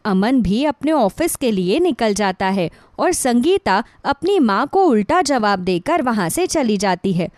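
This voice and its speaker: A woman's voice: fairly high pitch, big pitch swings, measured pace, hardly any pauses, a little mellow, no echo, clean studio sound. A woman reading scripted narration for a moral bedtime story.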